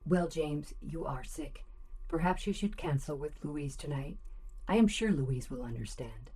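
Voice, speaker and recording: A woman in an audio drama playing a calm, obedient computerized home assistant with measured, formal phrasing. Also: low voice, breathy edge, exaggerated voice. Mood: calm, deferential.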